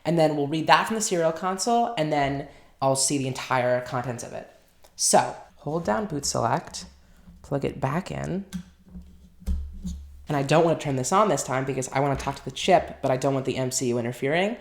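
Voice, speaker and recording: Low-voiced woman explaining in an echoey room. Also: lively intonation, moderate pace. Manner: explains step by step while working, thinking aloud. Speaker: hardware tinkerer and channel host. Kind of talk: casual technical walkthrough.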